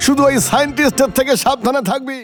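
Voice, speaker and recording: Young cartoon hero: bumbling, bossy, pitched very high, over music. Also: male voice, little pitch movement, fast talker. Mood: brotherly. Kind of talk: animated cartoon dubbing. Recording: loud music behind the voice.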